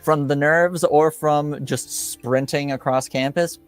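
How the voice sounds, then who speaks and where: A man running a tabletop roleplaying game, improvising narration in a halting, thinking-aloud way. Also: on the high side, fairly even intonation, smooth, soft background music. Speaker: game master.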